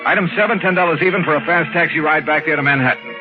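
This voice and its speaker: An American actor playing a tough, skeptical insurance investigator in clipped, sardonic 1950s radio drama style. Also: male voice, on the high side, fast talker, natural voice. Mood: brisk, wry. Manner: clipped, sardonic, hard-boiled. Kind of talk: 1950s radio drama acting and first-person narration. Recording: dull vintage radio recording, music behind.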